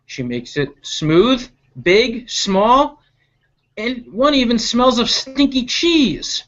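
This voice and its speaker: Children's read-aloud narrator, mid-pitched and highly expressive, unhurried delivery, dull recording. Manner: animated, deliberate, stretching key action words, with asides to listeners. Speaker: reader reading a picture book to children.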